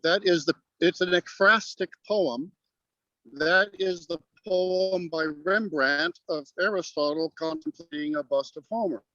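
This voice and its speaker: An older man, a guest poet explaining in a halting, deliberate way over a remote video call from outdoors. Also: high-pitched, bright, forceful tone, dry recording. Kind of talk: explanatory interview talk. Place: outdoors on grass in a parking lot. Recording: dull, lo-fi call audio.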